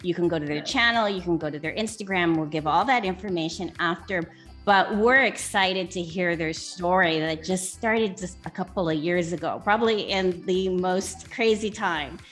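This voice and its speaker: A woman's voice: on the low side, normal speed, fairly bright tone, soft background music. A woman hosting a video interview, enthusiastic and chatty.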